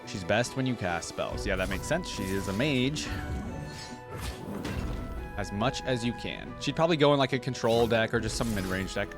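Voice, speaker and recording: A man with a fairly low voice gives off-the-cuff gaming commentary. He talks informally and thinks out loud, using plenty of slang. Music plays clearly behind him. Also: animated, normal speed. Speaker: reaction video host. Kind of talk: casual gaming reaction commentary. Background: game trailer and theme music.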